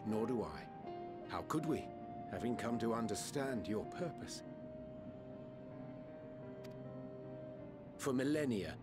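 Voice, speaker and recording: An actor playing a calm, scholarly man in a fantasy video game, speaking in formal, eloquent, archaic phrases. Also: mid-range voice, close to an everyday voice. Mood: gentle, reassuring.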